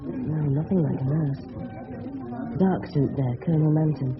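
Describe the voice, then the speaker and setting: A man's voice: fairly high pitch, fairly even intonation, moderate pace, natural voice. Man acting polite English teenage boy, eager, inquisitive, radio play, dull recording, music behind. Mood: brooding, private. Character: earnest, inquisitive polite English schoolboy in his teens. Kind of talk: radio drama acting with inner monologue.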